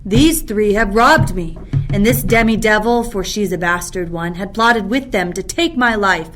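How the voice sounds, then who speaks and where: A woman playing a commanding exiled duchess and mother in a Shakespeare radio play, speaking formally and deliberately. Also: medium pitch, close to an everyday voice. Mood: stern accusing. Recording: noisy recording with ambient sound texture.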